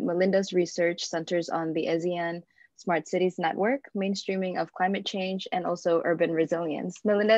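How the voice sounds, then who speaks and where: A woman from Indonesia hosts an interview, friendly and a little hesitant with her fillers. Her voice sits slightly low. The sound is dry and dull, like a lo-fi call. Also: darkish tone, no room sound. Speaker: interview host. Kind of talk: remote interview hosting.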